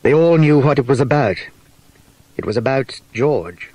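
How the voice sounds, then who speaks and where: A man's voice: mid-range voice, expressive, normal speed, a little mellow, faint music behind, rough recording. A man narrating a children's story as a scripted, dramatised audiobook.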